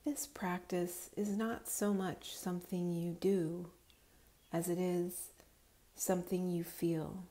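Slow, mellow woman guiding deep relaxation. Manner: calm, soothing, unhurried instruction. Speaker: yoga nidra guide. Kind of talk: guided relaxation meditation.

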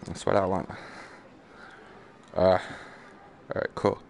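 Male game streamer, lowish gravelly voice, slangy self-talk with outbursts and swearing. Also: level tone, takes it slowly, a little hiss or hum. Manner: muttering to himself and exclaiming, slangy, sweary. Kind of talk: casual live gameplay commentary.

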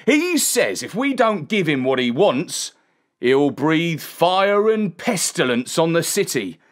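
A woman's voice: low voice, sing-song, slow pace, voice pushed up, exaggerated voice. A woman voicing a matter-of-fact rustic coachman in a storybook, resigned to his grim orders.

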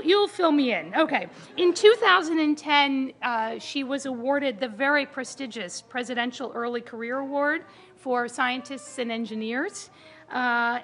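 A woman's voice: high-pitched, fairly bright tone. Chatty woman moderator. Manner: chatty, self-deprecating asides. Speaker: panel moderator. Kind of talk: introducing panelists.

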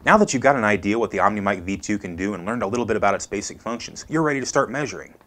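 Male product presenter, American, thirties, animated intonation, scripted how-to delivery. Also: fairly low pitch, rapid-fire.

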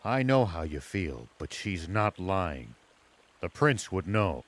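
A man voicing an older, steady war veteran in a video game, measured and resolute. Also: low-pitched, exaggerated voice. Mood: calm, serious.

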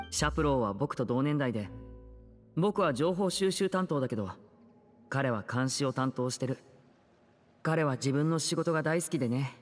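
A man voicing a gentle, composed young male magician in a Japanese anime game, soft-spoken, measured and polite. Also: mid-range voice, lightly acted. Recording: long reverb, faint music behind.

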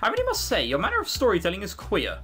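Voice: mid-range voice, huge pitch swings, quick pace, a bit bright, soft background music. A game commentator voicing a haughty, curious female fiend with formal, questioning speech.

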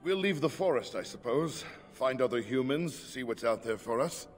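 A man's voice: medium pitch, animated, moderate pace, natural voice. An actor playing an awed, grateful man newly freed from a curse in a fantasy game, earnest and wondering. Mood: grateful, hopeful. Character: male werewolf restored to human, awed and grateful. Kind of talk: fantasy video game acting. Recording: reverberant voice over game music.

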